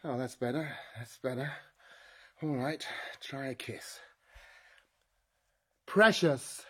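A man playing a fussy, quick-tempered inventor who fiddles with his robot and barks orders at it. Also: mid-range voice, husky.

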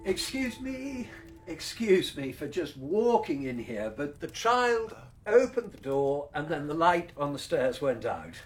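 A courteous, old-fashioned stranger in his sixties in a radio play. He rambles apologetically in a fairly high voice that rises and falls a lot. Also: sing-song, unhurried, soft background music. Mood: apologetic. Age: 60s. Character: polite elderly stranger, nervous, old-fashioned. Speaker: unexpected visitor. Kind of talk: radio drama.

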